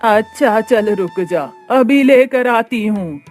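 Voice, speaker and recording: A woman's voice: on the high side, animated, slow, a little mellow. A woman puts on an old village auntie for a cartoon story. She is brusque and grumbling yet kind, and speaks over background music.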